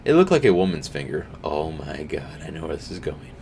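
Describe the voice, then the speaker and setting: A young man reading a scary story aloud at home, tripping over words now and then and adding quiet asides. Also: very deep voice, big pitch swings, brisk, vocal fry, slight background noise. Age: young adult. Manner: reads with slips and restarts, drops to quiet asides. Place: home streaming room, indoors.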